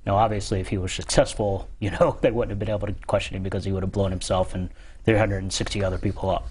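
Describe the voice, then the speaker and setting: Male studio guest, expert type, lowish pitch, careful and hesitant interview delivery. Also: fairly even intonation, a little mellow, breathy edge. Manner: measured, hedging, halting. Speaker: guest expert on a security call-in show. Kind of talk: interview answers to callers.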